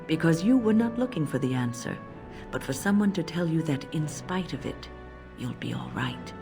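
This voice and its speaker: Fantasy game mentor: older female mage, deep voice, measured, reflective, gentle but firm. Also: lively intonation, creaky, over music. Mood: gently probing. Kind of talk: dramatic game dialogue.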